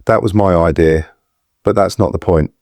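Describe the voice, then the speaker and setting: A man's voice: low-pitched, moderate pace, darkish tone, no room sound, natural voice. An actor playing a shaken but kindly man in an audio drama, a reluctant rescuer who speaks in a hesitant, reassuring, muttering way. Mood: flustered.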